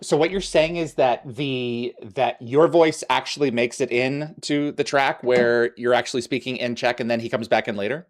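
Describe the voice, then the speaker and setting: A man's voice: mid-range voice, moderate pace, hardly any pauses. Chatty trivia-loving male host. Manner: eager, chatty, full of show trivia. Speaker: podcast host. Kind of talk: casual interview chat.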